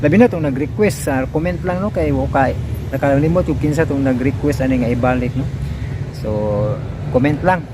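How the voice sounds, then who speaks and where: A man with a fairly high voice chats informally to his listeners. He rambles and hesitates, often tacking on little questions. The recording is outdoors, with very loud vehicle and ambient noise. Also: fairly quick. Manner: hesitant, checking with frequent tag questions. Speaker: vlogger introducing uploaded radio dramas. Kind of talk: casual rambling chat to listeners.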